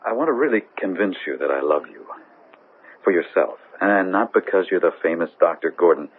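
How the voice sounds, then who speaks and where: An actor playing an earnest, stubborn, hurt fiancé with a husky voice in a 1970s radio drama. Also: male voice, deep voice, expressive, fairly quick. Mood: insistent, pleading. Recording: narrow lo-fi 1970s radio recording.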